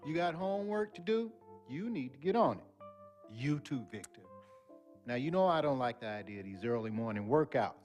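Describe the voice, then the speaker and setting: A man's voice: mid-range voice, expressive, brisk, darkish tone, faint music behind, natural voice. An actor playing a stern but caring middle-aged father, admonishing and emphatic, in a video game story.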